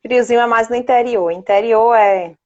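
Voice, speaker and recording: High-voiced Bahian woman, dull recording. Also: moderate pace. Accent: Bahian Brazilian Portuguese. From Bahia, Brazil. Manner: chatty, prone to laughing, checking with "né". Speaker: interview guest, child psychologist. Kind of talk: casual conversational interview.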